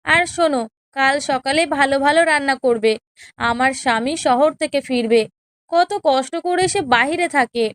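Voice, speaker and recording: A woman's voice: high-pitched, moderate pace, fairly bright tone, a little hiss or hum. A woman voicing a spiteful, imperious younger co-wife in a children's cartoon, scolding and sneering. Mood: scornful, bossy. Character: haughty, spiteful younger co-wife in a village household. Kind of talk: children's cartoon story dialogue.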